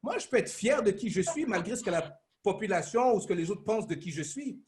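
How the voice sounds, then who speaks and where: A man's voice: sits high, expressive, a little fast, a little mellow. A man co-hosting a casual podcast discussion, probing and speaking directly to the guest.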